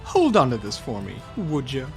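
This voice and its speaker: A man's voice: medium pitch, huge pitch swings, moderate pace, darkish tone, faint music behind. A man playing a flirtatious, joking male elf bard in a fantasy audio drama. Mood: wry, casual.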